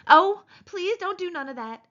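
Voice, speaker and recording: A woman's voice: on the high side, unhurried, fairly bright tone, muffled recording. A woman voicing a big, simple-minded man in an audiobook, childlike and fearful of trouble, with rustic, ungrammatical speech. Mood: frightened pleading. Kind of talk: audiobook character voice.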